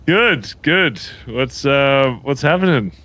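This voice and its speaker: A man's voice: mid-range voice, animated, slow pace, pushed and bright. A man improvising an in-character phone chat in a role-play game, rambling and hesitant, with some swearing. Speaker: gamer playing a biker role-play character.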